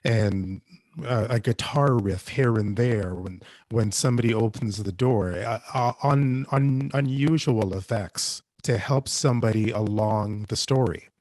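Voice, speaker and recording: Guy on a writers panel, lowish voice, halting, repeats himself while thinking, real dry sound. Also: darkish tone, no room sound. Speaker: panelist, audio drama writer. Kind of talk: panel discussion, reflective talk.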